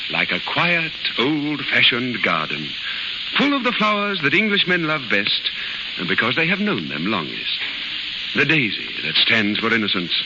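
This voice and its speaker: Animated gallant gentleman, vintage radio. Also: medium pitch, normal speed, muffled recording. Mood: tender. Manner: gallant, theatrical, chuckling. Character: dashing, jovial, oblivious gentleman enlisting as a soldier. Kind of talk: 1930s radio acting. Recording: noisy, band-limited 1930s radio recording.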